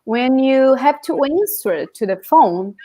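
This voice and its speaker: Higher-pitched Brazilian woman teaching. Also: lively intonation, slow, warm tone, slight background noise. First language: Portuguese. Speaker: language teacher. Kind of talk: informal live online lesson.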